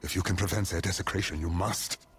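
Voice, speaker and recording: A man's voice: deep voice, fairly even intonation, quick pace, fairly bright tone, slight background noise. A man voicing a calculating, solemn military leader in a video game.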